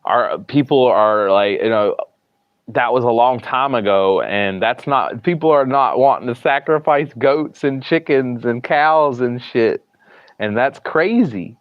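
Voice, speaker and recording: A man with a thick Southern US accent rambles through a casual livestream, restarting sentences and trailing off into low mumbles. The sound is dry and a little dull. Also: a little slow, dry recording. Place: hospital room.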